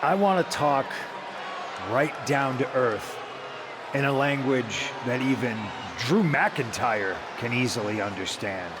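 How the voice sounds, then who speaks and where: An actor with an American accent playing a smug, taunting veteran wrestler delivering a condescending promo in a video game. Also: male voice, medium pitch, lively intonation, noisy background. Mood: mocking. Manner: mocking, condescending, deliberate showman. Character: smug, taunting veteran male pro wrestler and cult-like leader.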